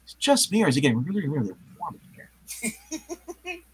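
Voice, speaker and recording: An earnest, awkward superhero and loner in love played by a man in a comic book reading. The voice is husky and a little high, with hugely animated pitch. The delivery is hammy and halting. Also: heavily acted. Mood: flustered.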